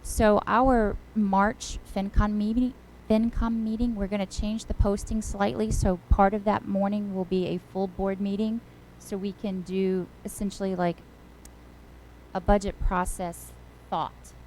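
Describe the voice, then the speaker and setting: This is a woman with a mellow, warm voice updating a school board as finance chair. She pauses often and fills the gaps with "um" and "so". A faint noise sits under the recording. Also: mid-range voice, level tone, rough recording. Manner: hesitant, with frequent fillers. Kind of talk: committee update at a board meeting.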